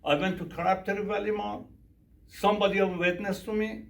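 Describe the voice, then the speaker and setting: Middle aged iranian guy w persian accent, minister asking the room questions, kinda high voice, echoey and distant. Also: steady tone, normal speed, creaky. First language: Farsi. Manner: broken English, repeats questions to the audience. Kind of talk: workshop talk with audience questions.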